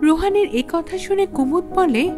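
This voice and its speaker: Casting: female narrator for horror tales, high-pitched and dramatic, with clear music behind her. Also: animated, moderate pace. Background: background music.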